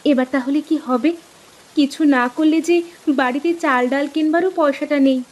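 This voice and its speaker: Woman as a high-voiced village wife. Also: steady tone, normal speed, darkish tone, lightly acted. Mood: worried. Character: earnest village farmer's wife, worried yet practical. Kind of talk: acted Bengali moral story dialogue.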